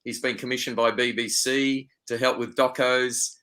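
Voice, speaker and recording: A man's voice: mid-range voice, flat delivery, moderate pace, bright, forceful tone. A middle-aged Australian man, a wildlife photographer, talking over a video call in an upbeat, chatty way. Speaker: wildlife photographer and guest expert. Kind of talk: casual interview talk.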